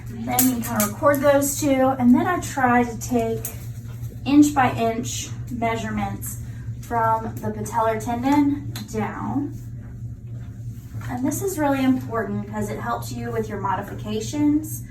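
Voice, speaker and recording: A woman's voice: fairly high pitch, a little slow, noisy background. A woman prosthetist explaining a clinical procedure step by step as she demonstrates it. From United States. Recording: echoey room, mic from far off.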